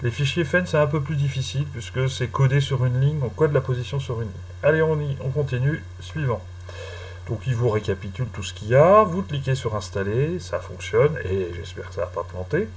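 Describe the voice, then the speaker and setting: A man giving a casual screen-recorded software tutorial, talking slowly with many hesitant fillers. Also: mid-range voice, animated. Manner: hesitant, many "euh" fillers, chatty and apologetic. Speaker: tutorial host.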